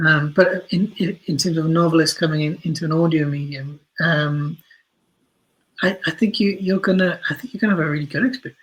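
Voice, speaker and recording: Husky man with an even, higher-pitched voice. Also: low-quality recording. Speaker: interview guest, audio drama writer. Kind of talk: thoughtful interview answers.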